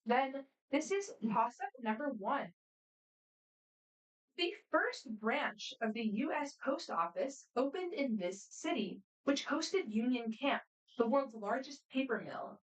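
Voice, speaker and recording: Woman, quiz moderator, brisk and friendly, a little high-pitched, mic some distance away. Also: very animated. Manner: brisk, friendly, matter-of-fact. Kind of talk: reading quiz questions aloud. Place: competition room. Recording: voice picked up from a distance.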